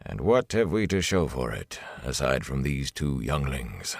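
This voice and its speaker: A man voicing a gray-haired lord captain in an audiobook, mild and measured, with quiet authority. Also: very deep voice, very animated, no room sound, soft background music, voice pushed down, full character voice. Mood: gentle rebuke. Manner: mild, gentle, measured rebukes. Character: gray-haired lord captain, calm, mildly commanding older officer.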